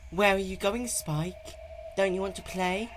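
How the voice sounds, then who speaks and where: A menacing, childlike pony queen, voiced by a man, pitched up high and taunting. It is a storybook-style villain performance with faint noise on the recording. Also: a little slow. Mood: eerie taunting. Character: sinister childlike filly turning into monstrous dark queen. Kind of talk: dramatic fan-fiction reading.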